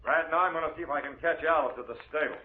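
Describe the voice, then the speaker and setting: An actor playing a glib, quick-tempered young American suitor in a 1940s radio drama, on an old recording. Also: male voice, on the high side, narrow, tinny audio, background noise, natural voice. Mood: affable.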